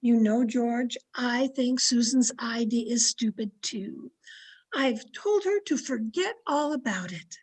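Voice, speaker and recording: A woman playing a sly, flattering old aunt in an old-time radio comedy, coy and sweetly confiding. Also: a little high, slow pace, husky, exaggerated voice. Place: home, online video-call production.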